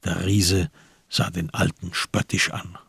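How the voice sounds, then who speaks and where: A man with a husky voice, narrating a radio crime drama from a literary script. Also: low-pitched, level tone, slow pace, fairly bright tone, no echo, clean studio sound.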